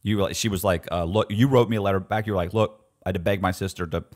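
A man in his forties hosting a casual podcast chat, recapping stories and chiming in, in a small studio on a boom mic. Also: steady tone. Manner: quick interjecting, recapping the story. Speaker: podcast host. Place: small studio with foam panels. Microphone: boom mic on table.

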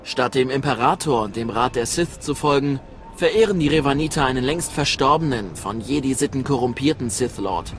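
A man playing a proud, grave Sith apprentice recruiter in a German game dub, formal and measured. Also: mid-range voice, animated, close to an everyday voice. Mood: scornful, instructing.

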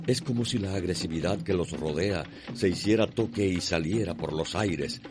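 A Cuban man narrates a radio drama in a low voice. His delivery is solemn and lyrical, rich in drama, with music playing clearly underneath. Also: normal speed.

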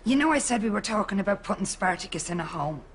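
A woman's voice: mid-range voice, expressive, quick pace, slight background noise, close to an everyday voice. A middle-aged woman playing a soothing grown-up daughter in a sitcom, with an Irish accent. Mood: apologetic. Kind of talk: sitcom acting.

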